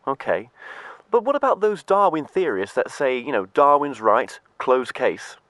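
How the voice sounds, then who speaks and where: Lively-voiced male radio interviewer. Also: mid-range voice, unhurried, no room sound. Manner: questioning, casual with fillers and restarts. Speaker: interviewer host.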